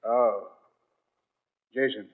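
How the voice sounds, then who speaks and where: An actor playing a secretive, irritable doctor in an old-time radio drama, conspiratorial and testy, with formal wording. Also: male voice, fairly low pitch, fairly even intonation, extremely slow. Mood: secretive, knowing. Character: secretive, irritable male country doctor, long in hiding. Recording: muffled, narrow-band old radio recording.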